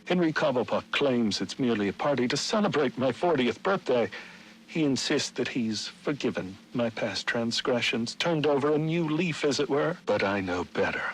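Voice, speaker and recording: An actor playing a middle-aged Victorian gentleman, a scheming city founder, narrating his journal in formal, dramatic, archaic diction for a video game. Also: medium pitch, expressive, moderate pace, slight background noise, lightly acted, rough recording. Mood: resigned, foreboding.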